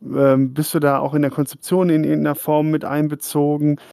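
A man's voice: a little high, monotone, brisk, vocal fry, dark tone, dry recording, studio quality. A man hosting a casual hobby podcast, chatty and rambling, often laughing. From Germany. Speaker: podcast host. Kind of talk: casual chat.

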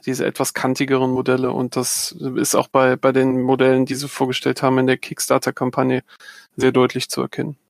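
A man speaking as a podcast guest, explaining things in a calm, measured way. His voice stays very flat and level in pitch, recorded close and dry. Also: gravelly, no echo. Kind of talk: casual chat.

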